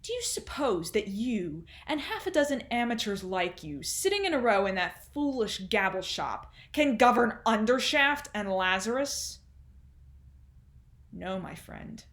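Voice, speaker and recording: A woman's voice: fairly high pitch, big pitch swings, unhurried, fairly bright tone, echoey room. A woman playing a cynical, cruel arms-tycoon father in a stage monologue, with mocking, pompous, theatrical delivery. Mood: imperious, menacing. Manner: sneering, pompous, theatrical oratory.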